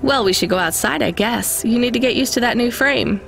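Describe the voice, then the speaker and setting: A woman's voice: a little high, brisk, gravelly, a bit bright, faint music behind. An actress playing a weary, caring young woman who works as a delivery driver in a video game. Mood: calm, fond. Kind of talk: naturalistic video game acting.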